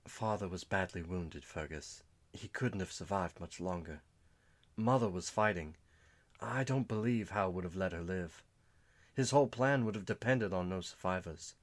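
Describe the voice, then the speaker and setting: A man voicing a young noblewoman warden who stays composed through grief, speaking quietly and with restraint. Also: fairly low pitch, normal speed, close to an everyday voice. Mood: grieving, steady.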